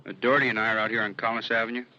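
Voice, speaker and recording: A man's voice: fairly low pitch, level tone, racing, pushed and bright, dull audio. An American man playing a matter-of-fact police officer reporting over the telephone in a 1950s radio drama. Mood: businesslike. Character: brisk male patrol detective reporting a case by phone. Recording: thin phone voice, faint noise, old radio.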